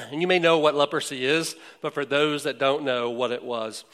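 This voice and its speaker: A man's voice: medium pitch, a bit bright. A man preaching a sermon, retelling a story in a conversational way with frequent fillers. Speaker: pastor.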